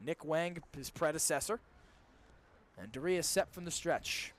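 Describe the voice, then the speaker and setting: A man's voice: fairly high pitch, a little slow. A young man doing live baseball play-by-play on the radio, as the game's announcer. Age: young adult. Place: ballpark during a live game. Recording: clear background noise, live ballpark broadcast.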